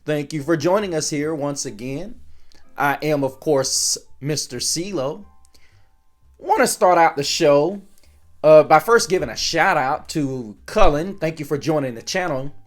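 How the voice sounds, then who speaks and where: A man's voice: a little high, lively intonation, measured pace. A man hosting his own sports channel, chatting casually to viewers with a voice worn down by a recent illness. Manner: rambling, conversational, with frequent restarts and fillers.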